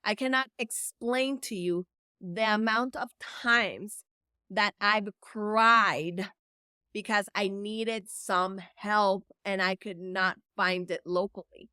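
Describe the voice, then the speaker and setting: A woman's voice: medium pitch, fairly even intonation, slow, pushed and bright, clear, resonant tone, no echo, studio quality. A woman from El Salvador whose first language is Spanish, an event producer giving an earnest, encouraging talk to camera and stretching words for emphasis. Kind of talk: direct-to-camera motivational talk.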